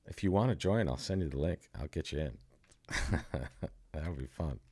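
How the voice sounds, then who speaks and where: Low-voiced joking bridge commentator. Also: male voice, animated, normal speed, darkish tone, slightly rough, dry recording. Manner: joking, chatty, self-deprecating. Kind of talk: casual live commentary.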